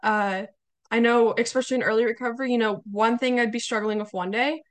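A young woman hosting a podcast interview, her voice slightly hoarse, chatting casually with many fillers. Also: a little high, steady tone, fast talker. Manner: chatty, warm hesitations with fillers. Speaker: podcast host.

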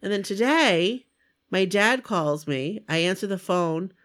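Hoarse, congested woman podcast host. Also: mid-range voice, animated, a little slow, fairly bright tone. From Maine. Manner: chatty storyteller, laughs often. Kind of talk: casual chat.

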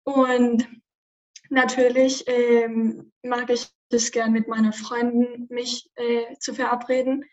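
A woman's voice: on the high side, little pitch movement, slow pace, distant mic, lo-fi sound. Hesitant teen girl with Spanish accent. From Spain. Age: teens. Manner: hesitant, many fillers. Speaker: student podcaster. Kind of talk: casual chat.

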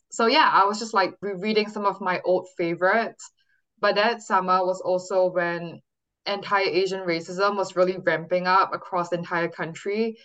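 Young woman, slightly low voice. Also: fairly bright tone, poor audio. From Singapore. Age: 20s. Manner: candid, conversational. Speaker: guest author. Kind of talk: personal storytelling in an interview.

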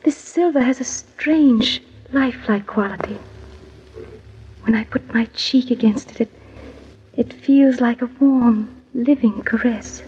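Woman playing a dreamy, soft-toned, high-voiced bride. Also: unhurried, lightly acted. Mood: enraptured. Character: dreamy, enraptured young bride-to-be of a nobleman. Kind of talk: old-time radio drama acting. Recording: muffled old radio recording, faint noise.

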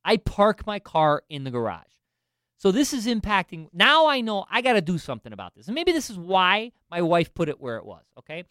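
A man's voice: sits high, big pitch swings, brisk, pushed and bright, clean tone, no room sound, clean studio sound. A man in finance telling a self-deprecating story in casual chat, with lots of restarts and asides. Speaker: finance professional.

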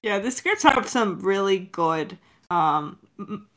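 A woman's voice: medium pitch, expressive, takes it slowly, a bit bright. A woman hosting a casual Q&A as a director, effusive and very informal in the way she talks. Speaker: director and Q&A host. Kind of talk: casual chat.

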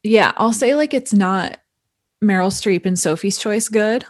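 A woman's voice: mid-range voice, unhurried, no room sound, studio quality. A woman in casual conversation about movies, hedging with fillers like "like" and "you know" and giggling often. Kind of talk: casual film discussion.

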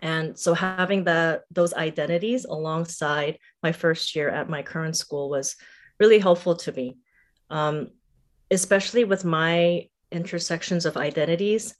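A woman's voice: low voice, steady tone, a little slow. A middle-aged woman from Singapore and Thailand, an educator speaking as a podcast guest in a thoughtful, conversational way. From Singapore and Thailand, born in South Korea. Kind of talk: conversational interview.

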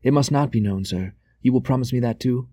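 Man voicing an agitated, stammering messenger, pitched up. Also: brisk, warm tone, dry recording, clean studio sound, close to an everyday voice. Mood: anxious, pleading. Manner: hasty, stammering, deferential. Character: agitated, furtive male messenger, servile and pleading.

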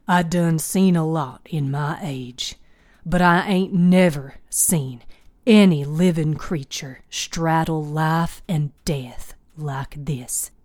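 A woman's voice: sits low, takes it slowly, darkish tone, no echo, pitched below the performer's own voice. A dry, world-weary older country woman, as a female narrator puts her on, speaks. She is a plainspoken storybook healer who takes her time over every folksy line, recorded close and dry. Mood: grave, plainspoken.

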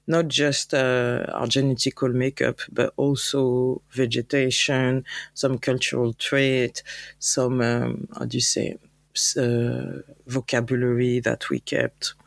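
A French-accented woman speaks in an upbeat, animated way, now and then pausing to search for a word. Her voice carries a gravelly, creaky texture. The recording is dry and close, with no room sound. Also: very deep voice, no echo. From France. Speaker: guest, travel company founder. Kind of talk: conversational interview.

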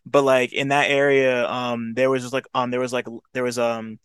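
A man with a smooth, resonant voice talks in a relaxed, halting way over a video call. The sound is dry and close. He pauses often on fillers. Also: medium pitch, no room sound. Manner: laid-back, hesitant, many fillers. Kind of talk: casual chat.

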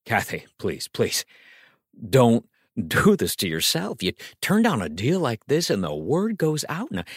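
A man's voice: on the high side, slightly rough, no echo, studio quality, full character voice. A man voicing a cajoling, exasperated talent agent pleading in showbiz slang in an audiobook. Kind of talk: audiobook dialogue acting.

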